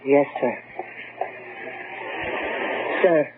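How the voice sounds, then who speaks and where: A man's voice: mid-range voice, very slow. A man playing a fearful, deferential servant in a gothic radio drama, speaking hesitantly and timidly. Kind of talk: old-fashioned radio drama acting. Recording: muffled, noisy phone-like radio recording.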